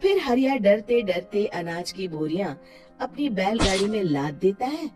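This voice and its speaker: A woman narrating a cartoon story in an expressive storybook style. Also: mid-range voice, normal speed, over music.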